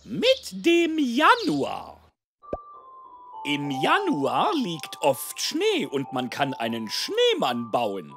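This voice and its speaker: An actor voicing a clever, pompous male gander who teaches in a drawn-out, didactic way in a children's cartoon. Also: mid-range voice, huge pitch swings, fairly bright tone, soft background music, heavily acted. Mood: pompous, instructive.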